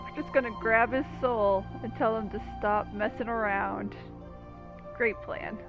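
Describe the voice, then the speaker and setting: Casting: female gameplay commentator, highish pitch, unhurried, dryly teasing, casual, faint game music behind. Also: fairly even intonation, muffled recording. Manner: teasing, wry. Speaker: gamer commentator.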